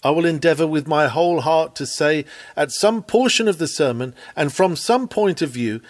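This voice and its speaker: A man reading a written sermon aloud in an earnest, oratorical manner with measured phrasing. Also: fairly high pitch, animated. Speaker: sermon reader.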